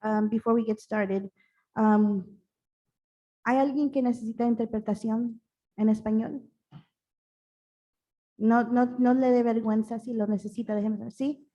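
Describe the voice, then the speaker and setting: A woman serving as mayor, chairing a town council meeting in a brisk, informal way and interpreting into Spanish herself. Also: fairly high pitch, steady tone, warm tone, poor audio.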